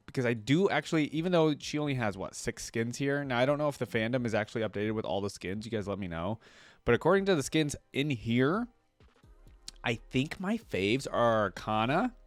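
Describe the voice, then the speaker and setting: A man's voice: medium pitch, dry recording. A man hosting a reaction video, chatting casually and thinking aloud in an informal way.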